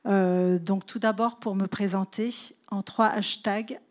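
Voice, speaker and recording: A woman giving a conference presentation as a guest speaker, hesitant and halting, often correcting herself. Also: mid-range voice, steady tone, a little mellow. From Italian-German, grew up in several countries. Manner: hesitant, halting delivery with frequent self-corrections. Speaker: guest speaker, retail consultant.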